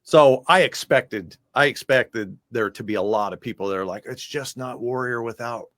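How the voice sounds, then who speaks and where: A man's voice: mid-range voice, normal speed, a bit bright, dry recording, clean studio sound. An American man chatting casually in a music interview as the guest, talking in a loose, rambling way.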